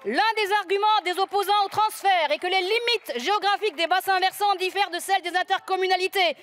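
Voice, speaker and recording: Very high-pitched woman giving a political speech. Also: fairly quick, a bit bright. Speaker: politician speaking in a parliamentary debate. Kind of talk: formal prepared political speech.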